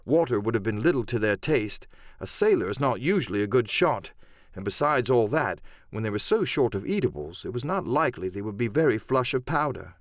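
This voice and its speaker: Animated male audiobook narrator. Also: medium pitch, brisk, dry recording, clean studio sound. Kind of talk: dramatic scripted narration of an adventure novel.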